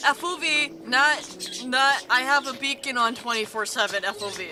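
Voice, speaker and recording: A woman streamer with a rough voice, chatting casually and rambling while she plays, swearing now and then, into a desk microphone. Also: high voice, moderate pace. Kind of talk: casual live stream chat while gaming. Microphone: desk microphone with mute button. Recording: music playing under the voice. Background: background music.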